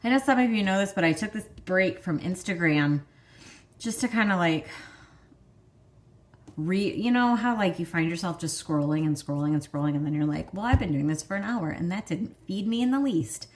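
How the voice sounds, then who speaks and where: A woman's voice: lowish voice, expressive, normal speed. A woman in her thirties with a Michigan accent, hosting a vlog in chatty, rambling casual talk full of fillers. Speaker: vlog host. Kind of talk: casual chat.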